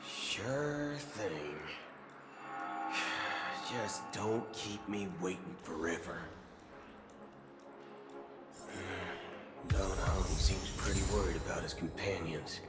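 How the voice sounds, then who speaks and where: Cocky young drifter in a game, low slow drawl, loud music behind. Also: male voice. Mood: wary, resigned. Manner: breezy, slangy, drawling. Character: brash young male space traveler, impatient, casual. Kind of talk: video game voice acting. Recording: roomy sound under loud game music.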